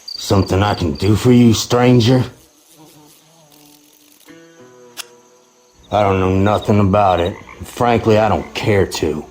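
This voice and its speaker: An actor playing a surly, menacing local man in a video game, gruff and dismissive. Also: lowish voice, moderate pace, a little mellow, slight background noise, close to an everyday voice. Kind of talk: video game character acting.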